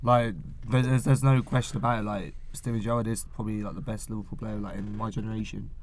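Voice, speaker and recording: Quick talking guy arguing footy with his mates, lots of likes. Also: on the low side, little pitch movement, darkish tone, slight background noise. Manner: argumentative, lots of fillers. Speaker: podcast co-host. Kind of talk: casual football banter.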